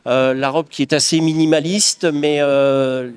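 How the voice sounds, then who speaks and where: A man's voice: a little high, little pitch movement, measured pace, clear, resonant tone. A middle-aged man from the Paris region, answering interview questions in an earnest, reflective way with frequent restarts. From Paris region of France. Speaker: craftsman guest.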